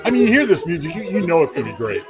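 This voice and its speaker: A man hosting with casual, jokey, excitable banter. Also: on the high side, rapid-fire, over loud music. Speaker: radio show host.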